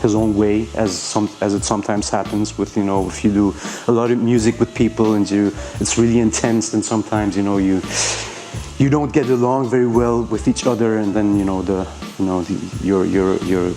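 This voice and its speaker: A man's voice: fairly low pitch, level tone, normal speed, warm tone, faint music behind. A man from Iran whose first language is Persian, a rapper answering interview questions in a hesitant way, with many fillers and restarts.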